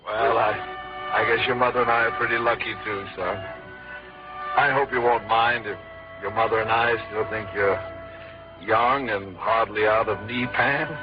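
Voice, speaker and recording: Man acting gentle proud dad, lowish voice, halting and kindly, muffled old radio play. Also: fairly even intonation, over music, lightly acted. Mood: tender. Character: gentle, proud, slightly bashful middle-aged father. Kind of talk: 1950s radio drama acting. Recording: muffled vintage radio broadcast.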